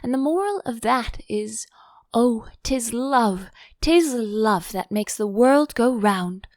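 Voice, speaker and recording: A woman's voice: fairly high pitch, expressive, slow pace, darkish tone, no room sound, clean studio sound. A woman voicing an overbearing, affectionate older Duchess in a storybook, effusive and sententious, drawing out her phrases.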